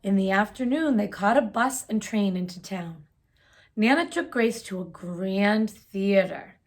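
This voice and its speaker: A woman reading a children's picture book aloud in a gentle, expressive storytelling manner. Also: medium pitch, measured pace.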